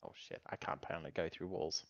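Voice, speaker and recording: A man's voice: rumbling low, sing-song, fast talker, vocal fry, no echo, highs cut off. A man chatting casually as a player in a tabletop roleplaying game.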